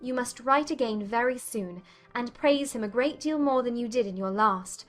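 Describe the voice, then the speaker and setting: Woman doing witty young period heroine, highish playful voice, teasing, audiobook drama w music under. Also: normal speed, fairly bright tone, lightly acted. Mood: joyful, affectionate. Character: witty, spirited young gentlewoman, teasing and happy.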